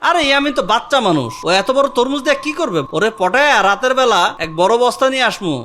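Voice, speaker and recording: A man's voice: extremely high pitch, animated, quick pace, pushed and bright, soft background music, heavily acted. A man voicing a greedy, boastful, bumbling village uncle in a cartoon comedy, melodramatic and blustering. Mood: scheming. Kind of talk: Bengali cartoon comedy acting.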